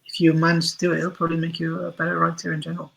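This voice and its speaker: A man with a husky, fairly high voice speaks as an interview guest. His intonation stays very even. He talks conversationally, reworking his phrases as he goes while giving his opinions. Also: racing, rough recording. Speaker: interview guest, writer for audio and screen.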